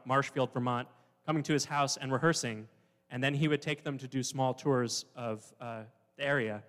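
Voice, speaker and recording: Young man speaking in a hall. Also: mid-range voice. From Wisconsin, US. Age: 20s. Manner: relaxed, unscripted, with fillers and self-corrections. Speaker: choir staff member introducing a song. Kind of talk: spoken introduction at a choir concert-lecture. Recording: reverberant hall.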